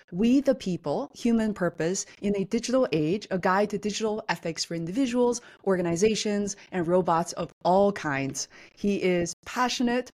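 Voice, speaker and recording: A woman's voice: medium pitch, a little mellow. A woman hosting a podcast interview, who reads a guest introduction and then asks questions in a friendly way. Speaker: podcast host.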